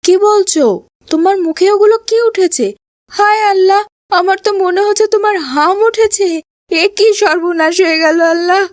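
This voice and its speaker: A woman playing a gentle, reproving mother of a schoolboy in a children's moral cartoon. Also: very high-pitched, normal speed, no echo, clean studio sound. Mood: alarmed, worried.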